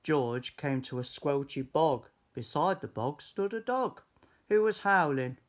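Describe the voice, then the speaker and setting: A man reading a children's story aloud in an expressive, deliberate, animated way. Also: a little high. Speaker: storybook reader.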